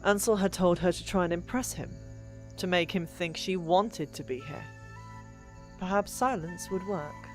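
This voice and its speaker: A woman narrating a fantasy audiobook in an expressive, deliberate storytelling manner. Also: fairly low pitch, poor audio. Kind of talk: dramatic scripted fiction narration. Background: background music.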